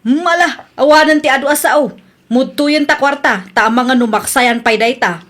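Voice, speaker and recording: A woman's voice: high voice, moderate pace, a bit bright. A woman acting a bossy, exasperated wife and mother who runs the household money in a radio drama.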